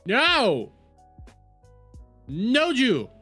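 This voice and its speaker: A man's voice: very high-pitched, huge pitch swings, drawn-out words, sharp tone, husky, faint music behind. A man hosting a reaction video, giving heated, casual opinion commentary in an argumentative, exasperated and mocking way, repeating phrases for emphasis.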